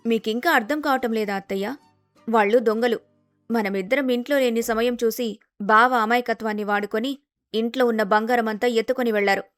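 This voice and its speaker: Woman acting young daughter-in-law, polite, sensible, calm, highish voice, dry studio sound, faint music. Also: brisk, dry recording, natural voice. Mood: earnest, resolute.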